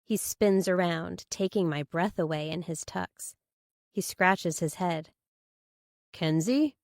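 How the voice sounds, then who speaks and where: A woman's voice: fairly low pitch, fairly even intonation, a little slow, dry recording, studio quality. A woman reading first-person fiction as an audiobook narrator.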